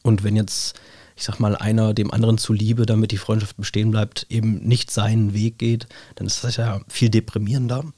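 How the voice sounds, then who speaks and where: A man, hoarse with a cold, musing in a relaxed chat and padding his talk with fillers and tag questions. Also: on the low side, fairly quick, dry recording. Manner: thoughtful, rambling, many fillers and tag questions. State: hoarse with a cold, scratchy throat. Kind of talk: reflective casual chat.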